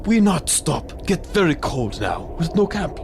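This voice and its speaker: An actor playing a proud, worried Tibetan Sherpa leader in an audio drama, speaking broken, simple English in short phrases. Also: male voice, a little high, very animated, measured pace, vocal fry, slight background noise, full character voice. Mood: pleading, alarmed.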